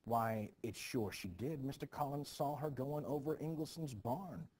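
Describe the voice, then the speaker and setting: A man's voice: mid-range voice, normal speed, darkish tone, slightly rough. A man reading a stage play aloud as a teacher, acting out the parts expressively in old-fashioned phrasing. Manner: archaic phrasing, acting out several characters.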